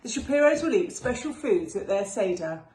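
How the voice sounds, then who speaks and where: A woman voicing a patient mother spider in a storybook, gently chiding and instructing her young one with drawn-out key words. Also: medium pitch, sing-song, lightly acted. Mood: explaining. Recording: roomy echo with faint background noise.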